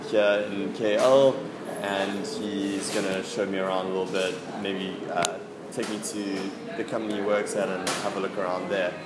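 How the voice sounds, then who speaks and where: A man's voice: fairly low pitch, reverberant. A young man from South Africa, a travel vlogger in casual, upbeat chat. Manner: upbeat, relaxed, says "cool" and "yeah" often. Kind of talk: casual chat and vlog narration.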